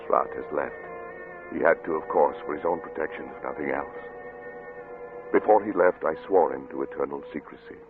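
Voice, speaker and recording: An actor playing a tormented, fearful middle-aged scientist father in 1940s-style radio drama, with intense, halting, melodramatic delivery. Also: very deep voice, gravelly, over music. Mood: grave, brooding. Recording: old muffled radio recording with heavy noise.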